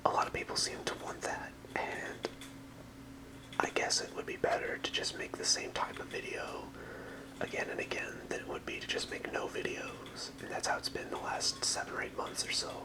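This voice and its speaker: A fully whispered voice in the style of a casual ASMR video, with no voicing in it. The speaker rambles softly and hesitantly through a card showcase, sounding apologetic and unscripted. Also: brisk, rough recording. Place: apartment room.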